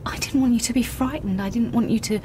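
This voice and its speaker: A woman's voice: a little high, quick pace, lightly acted. An actress playing an evasive, strained mother in a naturalistic audio drama, speaking hesitantly to her child. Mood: weary, pleading. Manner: hesitant, hushed at times. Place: recorded on location. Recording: noisy location recording, traffic ambience.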